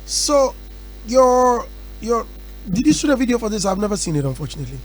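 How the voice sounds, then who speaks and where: A man hosting a radio interview, chatty and animated. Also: high-pitched, brisk, faint music behind, rough recording. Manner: animated, chatty, acts out quotes. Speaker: radio host. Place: radio studio.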